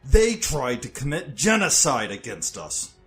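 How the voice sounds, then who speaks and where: A man's voice: mid-range voice, wildly swooping pitch, measured pace, fairly bright tone, husky, faint music behind, heavily acted. A man playing a ruthless, bitter soldier and father figure in an audio drama, speaking with disdain and self-justification. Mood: indignant. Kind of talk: audio fiction character acting.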